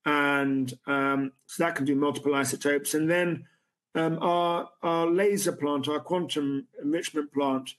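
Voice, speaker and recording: A man speaks on a video call, answering investor questions as a company's chief executive. He keeps stopping and restarting his sentences, filling the gaps with "um" and "you know". His voice sits a little high for a man. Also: steady tone, a bit bright. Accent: non-American. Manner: halting, many restarts and fillers. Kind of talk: conference Q&A. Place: room with a city view at night.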